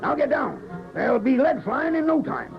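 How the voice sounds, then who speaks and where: Feisty Western rancher dad, high creaky voice, folksy drawled orders, muffled old radio recording. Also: male voice, very animated, moderate pace, full character voice. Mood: urgent, bossy. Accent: rural Western American. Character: feisty, bossy rancher father defending his land. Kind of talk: 1940s radio western acting. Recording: dull vintage radio, music and crowd noise.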